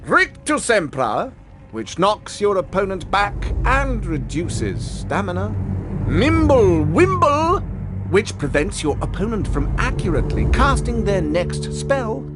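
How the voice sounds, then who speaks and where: A man's voice: high voice, big pitch swings, slow, background noise, exaggerated voice. An actor playing a vain, theatrical male duelling teacher in a video game, pompous in manner. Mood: showy, pompous. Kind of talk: video game character acting.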